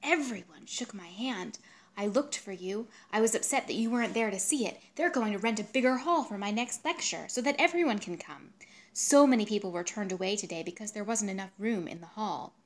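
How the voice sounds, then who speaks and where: A woman's voice: mid-range voice, lively intonation, a little fast, lightly acted. Melodramatic, self-important male suitor, performed by a female reader, insistent and pleading, for literary storytelling. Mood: boastful, agitated. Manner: insistent, grandiose, wheedling.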